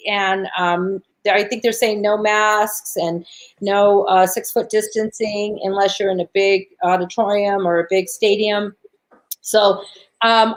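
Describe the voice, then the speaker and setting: A woman with a raspy voice hosting a spiritual talk show, chatting casually in an encouraging, chatty way. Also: fairly high pitch. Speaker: show host.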